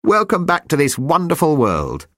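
A man voicing a relentlessly cheerful little male creature with a shrill, chirpy voice. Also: huge pitch swings, moderate pace, a bit bright, dry recording, clean studio sound, exaggerated voice.

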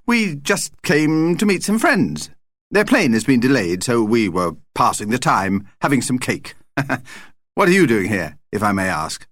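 Harried family dad for a storybook, read by a male narrator: polite, forced joviality, lively intonation. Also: mid-range voice, a little slow, no room sound, clean studio sound. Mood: awkwardly jovial. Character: harried middle-class father, trying to sound jovial.

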